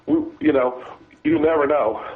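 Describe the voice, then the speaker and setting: Raspy man with a cold on a phone line. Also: on the high side. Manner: halting, many restarts and repeated words. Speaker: guest, former deputy sheriff.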